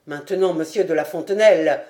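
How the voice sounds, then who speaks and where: Woman narrator voicing a dignified lieutenant defending his honour, firm and loud. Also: sits low, fairly quick, pitched below the performer's own voice, natural voice. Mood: composed. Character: steadfast male lieutenant, cool then indignant.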